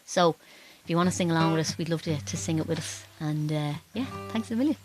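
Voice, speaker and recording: A woman's voice: low-pitched, quick pace, over music. A woman from Dublin with an Irish accent, chatting casually and informally as a folk singer hosting a livestream, talking to viewers between songs. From Dublin, Ireland. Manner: chatty, informal, greeting people by name. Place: small wooden garden shed.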